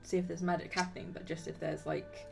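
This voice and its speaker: A woman's voice: on the low side, flat delivery, fairly quick, gravelly, rough recording. A woman chatting casually as a player in a tabletop game, asking questions in a tentative way. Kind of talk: casual chat during a game. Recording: music playing behind the voice. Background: music.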